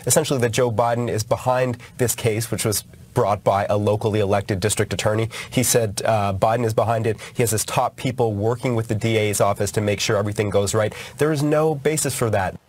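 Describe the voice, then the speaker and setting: A man in his thirties, a reporter giving measured, precise live news analysis. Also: fairly low pitch, fairly even intonation, darkish tone. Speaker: fact-checking reporter. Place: TV studio.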